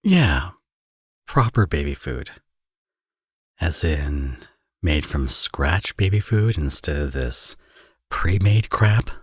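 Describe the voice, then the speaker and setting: A man acts out a teasing villain turned doting father for an ASMR romance roleplay. His voice is low and husky, recorded close and dry. He sounds smug and playful, talking as if to an old flame. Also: big pitch swings, slow pace, pauses often, no echo, full character voice. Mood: earnest, persuasive. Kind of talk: ASMR audio roleplay, romantic drama acting.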